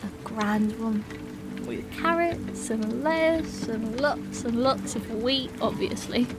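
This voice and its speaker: Halfling captive, played by a woman: small, young, female, folksy and halting, frightened with pluck, higher register, fantasy audiobook over music. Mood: shaky, wistful. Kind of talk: dramatized fantasy audiobook acting. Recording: music and rain sounds behind.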